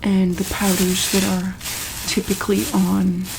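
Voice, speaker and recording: A woman acting as a calm, caring doctor in a soft ASMR roleplay, over clearly audible background noise. She talks slowly at a middling pitch. Her delivery is hesitant, broken by pauses and frequent ums. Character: calm, caring female monster-hospital doctor.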